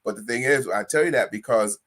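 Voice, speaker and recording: A man of sixty hosting a talk, speaking conversationally, repeating phrases and rising in pitch to stress points. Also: fairly quick, a bit bright. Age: 60s. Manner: conversational, repeats himself, rises in pitch for emphasis. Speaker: host. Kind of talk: motivational talk.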